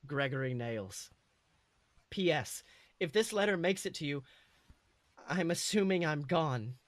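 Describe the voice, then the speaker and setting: A man voicing a proud, encouraging father through a letter, in a measured and sincere way. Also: a little high, no echo, natural voice.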